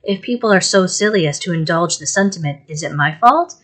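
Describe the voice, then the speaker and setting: A woman reading aloud and voicing a pretty young widowed mother who is tearful and easily hurt, pleading in a high, rising voice. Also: lively intonation, moderate pace, lightly acted. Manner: plaintive, pleading, rising in distress.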